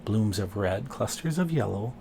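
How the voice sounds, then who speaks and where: A man's voice: on the low side, expressive, normal speed, vocal fry, dark tone, a little hiss or hum. A man voicing a cheerful, hungry husband in a children's storybook, exclaiming and drawing out words. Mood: proud.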